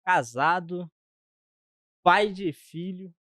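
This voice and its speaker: Playful Brazilian co-host with a higher voice. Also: male voice, very slow, a bit bright, clean studio sound. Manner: playful, echoing others' words. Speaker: podcast co-host. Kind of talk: casual chat.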